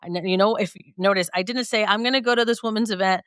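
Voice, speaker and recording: A woman's voice: mid-range voice, steady tone, fast talker, fairly bright tone, smooth, no echo, clean studio sound. A woman guest in a conversational interview, reflective and upbeat, often laughing mid-sentence.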